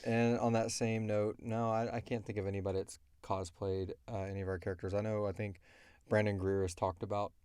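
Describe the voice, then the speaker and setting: A man's voice: fairly low pitch, little pitch movement, fairly quick, a little mellow, clean tone, no room sound, studio quality. A man hosting a podcast in casual question-and-answer chat, rambling along with self-deprecating jokes. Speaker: podcast host and creator.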